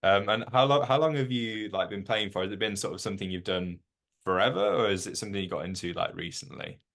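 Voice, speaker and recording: A man's voice: fairly low pitch, lively intonation, fast talker, fairly bright tone. A man hosting a casual interview, asking long rambling questions filled with "like". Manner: rambling questions full of "like" and restarts. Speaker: interview host.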